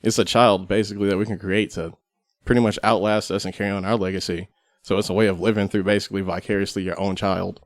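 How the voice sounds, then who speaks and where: A man with a fairly low voice takes part in a podcast panel. He talks casually and reflectively, and the sound is dry and free of room echo. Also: little pitch movement, fast.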